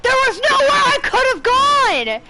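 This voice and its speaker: A woman's voice: very high-pitched, big pitch swings, unhurried, creaky. A woman giving casual gameplay commentary, complaining in slang with exasperation. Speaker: gamer recording a playthrough.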